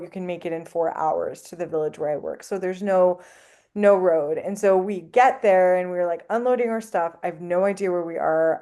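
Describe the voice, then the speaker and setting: Podcast guest: woman, French native speaker, lower register, easy rambling chat full of fillers. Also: dark tone, clear, resonant tone. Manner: chatty, lots of likes and ums. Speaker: anthropologist guest. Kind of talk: casual podcast interview.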